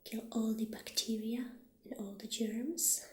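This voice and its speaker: A friendly witch shopkeeper acted out by a woman in an ASMR roleplay, speaking very slowly in a highish, soft, breathy half-whisper. The delivery is gentle and hesitant, and the room rings a little around the voice. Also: steady tone, reverberant, a little hiss or hum, natural voice. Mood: gentle, sales-minded. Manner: gentle, unhurried, hesitant pauses. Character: friendly witch shopkeeper showing off potion ingredients.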